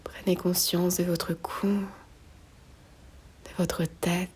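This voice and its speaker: A woman guiding a slow meditation in a soft, breathy near-whisper, gently giving unhurried instructions with long pauses. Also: fairly low pitch, flat delivery. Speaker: yoga nidra guide.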